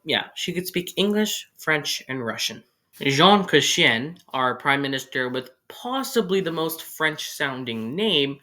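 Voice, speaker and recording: Young man, casual explainer narration, sarcastic asides, pitch jumping around a lot. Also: bright, forceful tone. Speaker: video creator.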